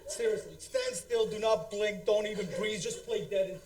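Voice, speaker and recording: A man's voice: sits high, normal speed, darkish tone. A man giving a joking wedding toast with marriage advice, laughing as he talks. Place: wedding reception hall.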